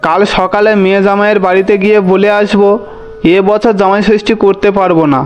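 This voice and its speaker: A man's voice: sits high, level tone, brisk, muffled recording, faint music behind, natural voice. A man voicing a poor, worried, forgiving village father in a cartoon, with a mild, resigned way of talking. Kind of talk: Bengali cartoon voice acting.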